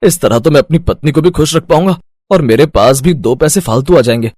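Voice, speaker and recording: An actor playing a weary, henpecked village food vendor in a story cartoon, expressive and given to grumbling and pleading. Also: male voice, fairly high pitch, brisk, no echo, clean studio sound, natural voice. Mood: pleased. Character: weary, henpecked village chicken-lollipop street vendor husband. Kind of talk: animated moral-story voice acting.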